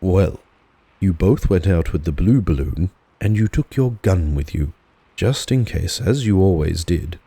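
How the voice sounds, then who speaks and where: Low, husky, animated male storyteller. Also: unhurried, dry recording. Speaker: audiobook narrator. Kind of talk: relaxing dramatised storybook narration.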